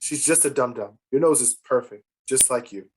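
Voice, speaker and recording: A woman voicing a snide but doting policeman in an animated comedy table read. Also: a bass voice, moderate pace, a little hiss or hum, rough recording. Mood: tender.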